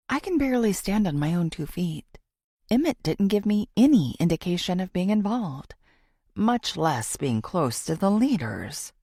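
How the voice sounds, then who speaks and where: A woman narrates a thriller audiobook with expressive, deliberate phrasing. Her voice sits a little low and moves animatedly up and down, with a gravelly fry in it. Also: no room sound, studio quality.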